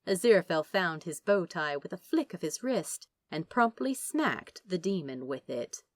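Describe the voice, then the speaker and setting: A woman narrating a piece of fiction from a script in an expressive storytelling style. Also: on the low side, moderate pace, no room sound. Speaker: podfic narrator.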